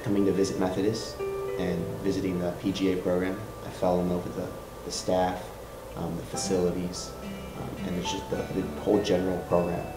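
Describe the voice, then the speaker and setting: A young man from Michigan, a college senior, answering interview questions with many pauses and fillers. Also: low-pitched, completely flat delivery, reverberant, music in the background, rough recording. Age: young adult. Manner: halting, many ums and uhs. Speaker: student interviewee.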